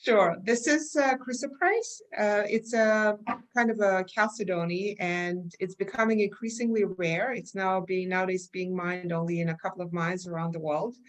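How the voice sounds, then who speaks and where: A middle-aged woman from Armenia with a slight Armenian accent, answering interview questions in a measured, explanatory way with frequent fillers. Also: mid-range voice, fairly even intonation. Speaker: guest, research company chair.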